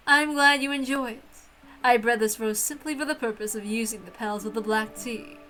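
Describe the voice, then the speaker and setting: A woman's voice: fairly high pitch, fairly quick, sharp tone, rough recording. A woman voicing a proud, gracious witch hostess in a visual novel reading, speaking in formal, stately phrases over music. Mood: warm, proud. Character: elegant, gracious witch hostess, grandly proud yet innocently friendly.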